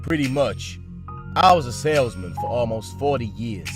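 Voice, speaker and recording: A man's voice: medium pitch, animated, normal speed, natural voice. An actor playing a weary, widowed old retired salesman, mild and plainspoken, in a comic dub. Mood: reflective. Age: older. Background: loud background music.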